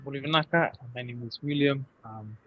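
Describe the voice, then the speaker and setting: A young man from Fiji introducing himself casually, in a hesitant, halting way. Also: mid-range voice. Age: young adult. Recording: dull, noisy lo-fi call audio.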